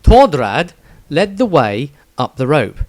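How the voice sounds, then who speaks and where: A man narrating a fantasy story aloud in an expressive storytelling manner. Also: fairly high pitch, takes it slowly, no room sound.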